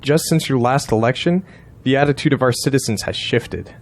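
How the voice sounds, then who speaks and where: An actor playing a confident, persuasive campaign strategist, courteous yet blunt, in an audio drama. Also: male voice, mid-range voice, fairly quick, lightly acted.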